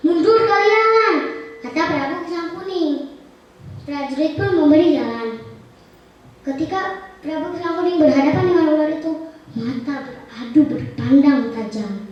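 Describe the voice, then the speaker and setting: A woman's voice: sits high, a little slow, pauses often. A young girl telling a folk tale theatrically, declaiming with dramatic emphasis. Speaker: storytelling contestant. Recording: echoey room, distant muffled mic.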